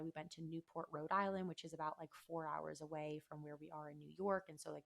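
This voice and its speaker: Solo podcast host, female, American. Low register, a little hoarse, conversational and candid. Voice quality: slightly hoarse. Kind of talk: casual solo podcast talk.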